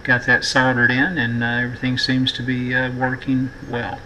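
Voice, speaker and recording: A middle-aged man giving a casual electronics tutorial in a halting, thinking-aloud way, as the host of a repair video. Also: medium pitch, monotone, bright, forceful tone, low-quality recording. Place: indoor workbench. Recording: clear background noise.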